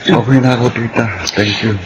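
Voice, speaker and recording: A man's voice: medium pitch, fairly even intonation, brisk, heavy background noise, lightly acted. A male actor with a Filipino accent playing a sickly, timid, affectionate teenage boy in a radio drama. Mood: weak, tender.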